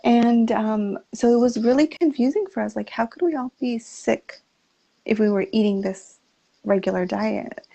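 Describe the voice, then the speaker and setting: Gravelly, mellow woman on a dull call line. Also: on the high side, normal speed. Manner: earnest, reflective. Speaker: guest, health coach. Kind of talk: interview storytelling.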